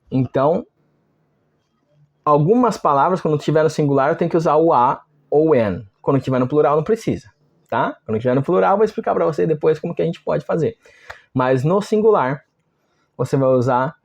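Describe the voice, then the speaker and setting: A Brazilian man with a voice a little higher than average gives an online lesson. He explains step by step in an informal, conversational manner. Short tag questions come often as he checks that the learner follows. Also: a little mellow. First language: Portuguese. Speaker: language teacher.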